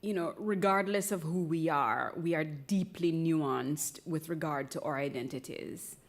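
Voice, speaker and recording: The lower-voiced Jamaican woman on a cultural centre stage, taking her time over a question in a candid public conversation, playful and thoughtful. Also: unhurried. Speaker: speaker in a live conversation event. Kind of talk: reflective, candid talk before a live audience.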